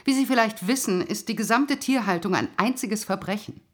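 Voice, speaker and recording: A woman's voice: mid-range voice, brisk, slightly rough, close to an everyday voice. An actress playing a stern female police detective in an audio drama, confrontational, sarcastic and indignant as she interrogates. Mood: indignant, lecturing.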